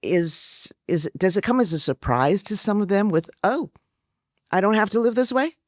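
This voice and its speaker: A woman's voice: mid-range voice, lively intonation, moderate pace, dry recording, studio quality. A woman journalist conducting a conversational interview, posing questions and reflecting on the answers.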